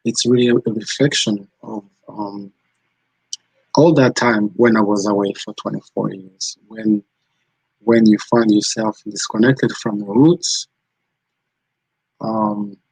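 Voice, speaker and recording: A man's voice: lowish voice, slow, gravelly, pauses often, a little mellow, breathy edge, no room sound, low-quality recording. A man from Rwanda, hosting a live stream, chatting casually and answering viewers' comments as they come in. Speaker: channel host.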